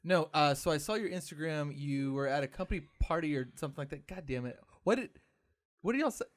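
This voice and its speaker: Lively, enthusiastic male podcast co-host. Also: a little high, quick pace. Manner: enthusiastic, hypes up guest. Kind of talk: casual chat.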